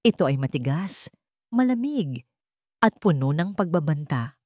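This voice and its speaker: A woman with a low voice narrates a scripted drama. The recording is dry and close, with very little room on it, as she tells the story as narrator. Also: sing-song, unhurried, mellow, no room sound.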